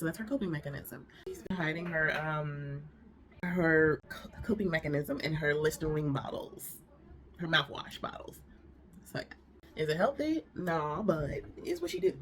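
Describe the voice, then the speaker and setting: Young woman, lowish and nasal from a cold, chatty rambling recap host. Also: a little hiss or hum. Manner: rambling, chatty, many fillers and restarts. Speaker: reaction video host. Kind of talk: casual drama recap and review.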